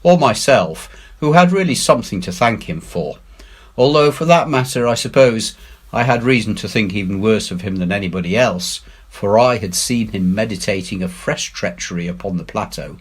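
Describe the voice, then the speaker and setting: A man reading a classic adventure story aloud in an expressive, measured way with emphatic phrasing. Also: on the low side, breathy edge. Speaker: head teacher reading aloud.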